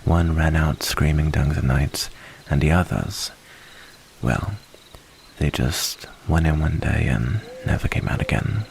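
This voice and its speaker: A man playing a gentle, curious night-time stranger in an ASMR roleplay, speaking in a soothing, hushed, unhurried way. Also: a bass voice, creaky, a little hiss or hum, full character voice. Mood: curious, intrigued. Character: gentle, curious male stranger lurking near the mansion.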